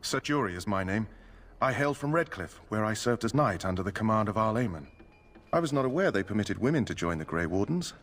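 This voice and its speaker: An actor playing a polite, awkward knight in a fantasy game, courteous, formal and a little hesitant. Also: male voice, on the low side, animated, brisk. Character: polite, awkward knight recruit, uneasy around magic. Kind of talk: scripted fantasy game dialogue.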